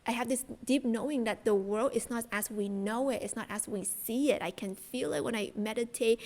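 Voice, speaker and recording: Chatty woman with a high voice. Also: a little mellow. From Vietnam. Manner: chatty and enthusiastic, many fillers. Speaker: spiritual content creator. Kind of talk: casual chat to viewers.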